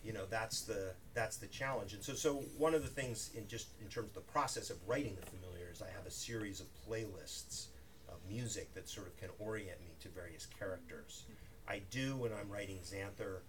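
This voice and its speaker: A man's voice: lowish voice, brisk. A man, an author at a bookstore event, speaking thoughtfully in a rambling way with many restarts and asides. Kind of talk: live talk with audience Q&A. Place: bookstore reading room. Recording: distant mic in a noisy room.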